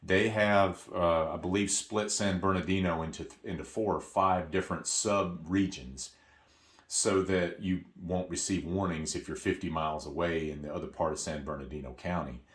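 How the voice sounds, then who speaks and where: A man's voice: low voice, steady tone, normal speed. A man presenting an unscripted step-by-step product walkthrough, explaining in a hesitant way and correcting himself as he goes.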